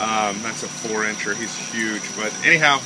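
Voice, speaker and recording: A man's voice: lowish voice, normal speed. A man giving a casual, rambling video update on his fish tanks, with lots of fillers. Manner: relaxed, rambling with many fillers. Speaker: aquaponics hobby farmer. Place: indoor grow room with fish tanks, briefly outdoors. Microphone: phone camera held while filming. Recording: noisy phone recording with pump hum.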